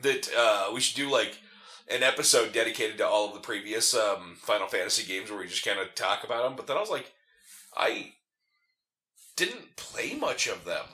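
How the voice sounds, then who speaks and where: Animated, rambling man with a bright voice. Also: fairly low pitch, moderate pace. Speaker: podcast co-host playing a video game. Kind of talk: casual chat over gameplay.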